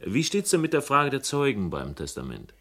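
An actor playing a young, inquisitive and polite investigator who also narrates a radio crime play in clipped, wry phrases. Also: male voice, medium pitch, huge pitch swings, normal speed, fairly bright tone. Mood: polite, probing.

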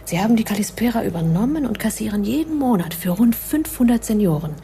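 A woman's voice: medium pitch, expressive, fast talker, mellow, lightly acted. A woman playing a tough secret agent in her thirties in a radio play, matter-of-fact about grim business. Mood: grim, urgent. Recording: radio play with faint background noise.

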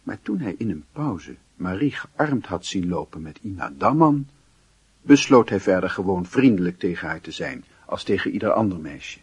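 An actor as a reflective narrator, reading literary narration for a Dutch radio play in a husky voice. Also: male voice, low-pitched, very animated, normal speed, no echo, full character voice.

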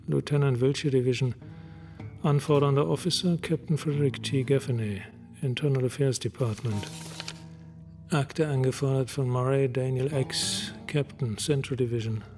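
An actor playing a focused, terse homicide detective with a clipped manner in a radio crime drama. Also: male voice, medium pitch, creaky, a little mellow.

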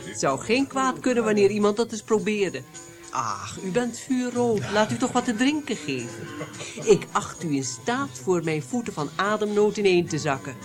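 Music plays behind a haughty princess played by a woman in a radio play. She has a medium-pitched voice and talks with aristocratic teasing and a dark, insinuating edge. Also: big pitch swings, moderate pace, lightly acted. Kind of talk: radio drama dialogue.

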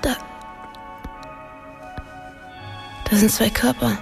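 A woman's voice: fairly high pitch, fairly even intonation, a little fast, vocal fry, soft background music. An actress playing a determined young woman in a radio drama, earnest, probing and a little tentative. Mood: tense wonder. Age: young adult. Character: determined young woman searching for hidden truth.

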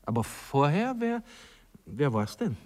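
An actor playing a smitten, prying gentleman seducer with a Viennese accent, hesitant and coaxing, in a 1960s radio play. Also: on the high side, big pitch swings, measured pace. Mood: prying, tender. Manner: hesitant, coaxing, inquisitive, murmured asides.

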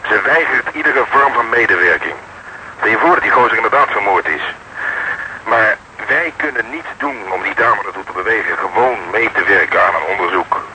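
A clearly noisy radio play recording of a world-weary police detective. His voice is low, and he talks in a deadpan, sarcastic way. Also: moderate pace. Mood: dry, resigned. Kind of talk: Dutch radio drama acting.

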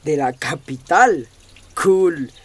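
A man's voice: fairly high pitch, animated, very slow, husky, full character voice. An actor playing a cocky, teasing, slangy young man in a radio drama.

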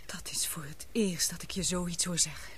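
A woman's voice: fairly low pitch, moderate pace, a little hiss or hum, lightly acted. A woman acting an anxious, tender young woman in an adventure radio play. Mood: fearful, pleading. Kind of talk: Dutch radio drama acting.

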